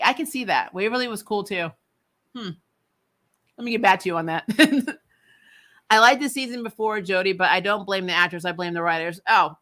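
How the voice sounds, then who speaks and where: The host has a bright, forward voice at a middling pitch. The delivery is casual and animated, with a giggly manner, like someone chatting along with commenters on a recap show. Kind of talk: casual chat reading and answering live comments.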